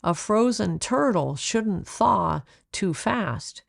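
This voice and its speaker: A woman's voice: mid-range voice, animated, slow, gravelly, dry recording, clean studio sound, heavily acted. Woman reading as kindly, reassuring wildlife rescuer man. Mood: frank, hopeful. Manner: reassuring, explanatory. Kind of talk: children's storybook read-aloud.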